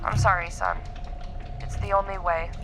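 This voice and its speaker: A woman playing a calm, commanding rescue leader in a science fiction audio drama. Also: on the low side, normal speed, over music, close to an everyday voice. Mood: firm.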